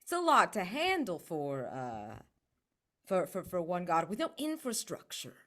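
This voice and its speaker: A woman playing a tabletop roleplaying game, casually improvising what her character does and says, halting and repeating words as she goes. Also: fairly low pitch, wildly swooping pitch, fairly bright tone.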